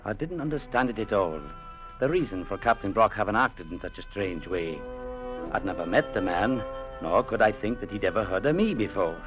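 An actor playing a courteous, quietly stubborn young Scottish newcomer in an old radio adventure drama. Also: male voice, lowish voice, animated, over music, natural voice. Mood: sober narrating. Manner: measured, courteous, quietly firm. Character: young Scottish newcomer clerk, polite but stubborn man. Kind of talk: 1940s-50s radio drama acting and narration. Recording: dull old radio broadcast.

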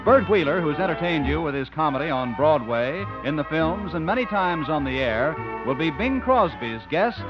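A man's voice: a little high, lively intonation, normal speed, few pauses, over music. An American man announcing on 1950s network radio in the formal style of that time. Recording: dull lo-fi old radio broadcast.

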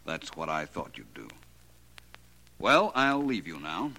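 A suave gentleman sleuth in vintage radio drama style, with a low, crisp-edged voice. He is urbane, measured and wryly sure of himself. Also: wildly swooping pitch, exaggerated voice, low-quality recording. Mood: calm, dry. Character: suave, self-assured gentleman detective. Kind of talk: 1940s radio drama acting. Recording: vintage 1940s radio recording.

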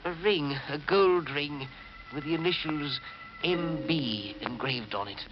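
An actor playing a frightened, deferential manservant in a 1940s radio mystery, recorded on a muffled, noisy old broadcast. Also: male voice, a little high, measured pace, close to an everyday voice. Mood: hushed dread.

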